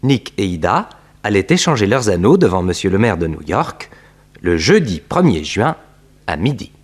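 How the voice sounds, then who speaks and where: Animated low-voiced radio serial narrator. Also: male voice, moderate pace, breathy edge. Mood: mock suspense. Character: detective's nephew recounting his uncle's exploits, theatrical.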